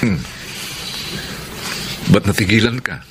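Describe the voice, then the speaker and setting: A man's voice: lowish voice, takes it slowly, noisy background. A man with a Filipino accent acting a polite, smitten young suitor in a radio drama. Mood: smooth, teasing.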